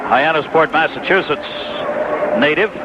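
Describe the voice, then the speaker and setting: A man's voice: a little high, normal speed. An American man calling baseball play-by-play on the radio. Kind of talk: live radio play-by-play commentary. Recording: dull, very noisy 1980s AM radio broadcast. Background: loud outdoor stadium ambience.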